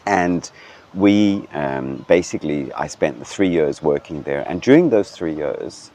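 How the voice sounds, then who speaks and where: A middle-aged man from Denmark with a very low, deep voice talks through a relaxed interview. He is thoughtful and unhurried, full of ums and restarts, with faint background hiss. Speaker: hotel general manager. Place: hotel grounds.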